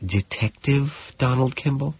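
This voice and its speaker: A man's voice: mid-range voice, a little slow, a little mellow, husky, lo-fi sound, pitched above the performer's own voice. A man reading an audiobook as a timid, deferential female secretary, nervous and hesitant. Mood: worried, asking. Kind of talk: dramatised audiobook narration.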